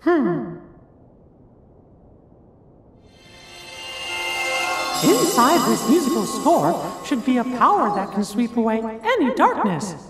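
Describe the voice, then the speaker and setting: An actor voicing a small, kindly cartoon mouse sorcerer's apprentice in a video game, squeaky, polite and folksy. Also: sing-song, measured pace, roomy sound, faint music behind. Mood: grateful, cautioning. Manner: folksy, earnest, exclamatory.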